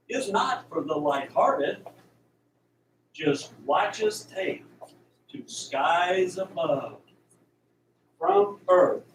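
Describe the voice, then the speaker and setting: A man reciting rhyming verse theatrically in a deliberate, singsong way. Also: a little high, full character voice. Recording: roomy, distant, faint noise.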